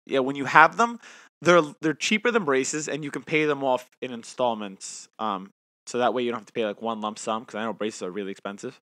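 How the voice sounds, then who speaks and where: A man's voice: medium pitch, brisk, sharp tone, no room sound. Young man talking with a lisp. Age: 20s. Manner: talks with a lisp from new aligner trays; chatty, self-mocking. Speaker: podcast co-host. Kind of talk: casual chat.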